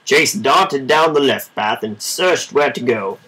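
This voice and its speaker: A man reading a children's story aloud in an informal, measured amateur way. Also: mid-range voice, expressive, bright, forceful tone, slight background noise. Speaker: storybook reader.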